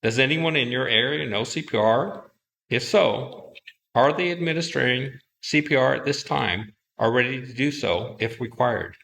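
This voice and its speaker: A man's voice: medium pitch, moderate pace, gravelly, a bit bright, poor audio. A man giving formal, procedural radio announcements as a dispatcher in a scripted drill.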